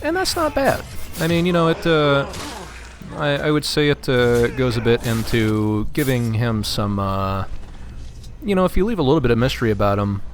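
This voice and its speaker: A man's voice: mid-range voice, animated, normal speed, faint music behind. A man chatting casually as co-commentator on video game play, conversational with a joking way of talking. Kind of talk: casual gameplay chat.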